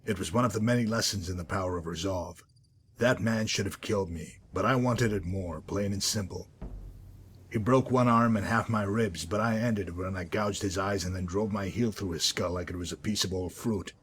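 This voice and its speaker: A man playing a cold, cynical former pit fighter and gang enforcer in a video game, speaking in a calm, wry, articulate and menacing way. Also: low voice, normal speed, slight background noise, close to an everyday voice. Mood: grim reminiscing. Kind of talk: video game character dialogue.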